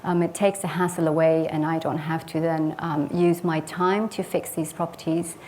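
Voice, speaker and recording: A woman's voice: deep voice, little pitch movement, normal speed, a little mellow, a little hiss or hum. A woman who grew up in Sweden, a property investor, giving a personal case study talk in an earnest, reflective way with frequent hesitant fillers.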